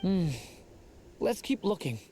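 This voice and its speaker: A woman voicing a gentle young male dragon youth in a video game, shy and earnest, speaking softly and hesitantly. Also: medium pitch, very animated, slow pace, slight background noise. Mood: wistful.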